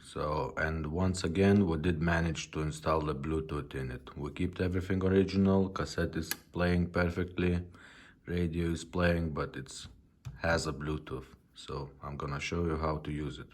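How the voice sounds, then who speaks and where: A man presenting a casual product demonstration in an informal, halting way, in short phrases. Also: extremely low pitch, steady tone. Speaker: presenter demonstrating a retro car radio.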